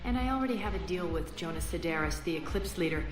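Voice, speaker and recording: A woman playing a cold, scheming crime boss in a video game, speaking with deliberate, commanding disdain. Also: fairly low pitch, expressive, close to an everyday voice. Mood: commanding, persuasive. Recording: roomy sound with music behind.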